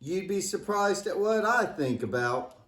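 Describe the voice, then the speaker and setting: A man's voice: high-pitched, animated, a little slow, fairly bright tone. Echoey, cheeky boy puppet played by a man. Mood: playful, excited. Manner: playful, joking, exaggerated exclamations. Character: Michael, cheeky, wisecracking, imaginative boy puppet. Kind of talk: scripted church puppet show dialogue. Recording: echoey room.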